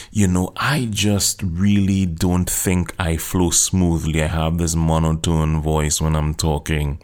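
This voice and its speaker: A man with a remarkably low, deep voice speaks as a podcast host and speaking coach. He talks earnestly and encouragingly, addressing the listener directly as he walks them through an exercise. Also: a little mellow. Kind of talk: solo motivational podcast talk.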